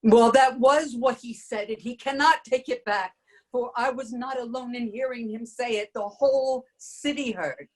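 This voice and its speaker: Breathy queen calming her lord, soft reassuring tone, woman acting it. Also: fairly high pitch, normal speed, close to an everyday voice. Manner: calm reassuring, drops to whisper. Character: queen soothing her husband, adult woman. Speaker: actor in dramatic reading.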